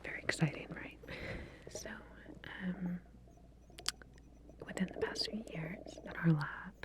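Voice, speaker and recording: A woman speaks in a breathy whisper, low and very slow. She plays a medical researcher in an ASMR roleplay, explaining things gently with frequent pauses.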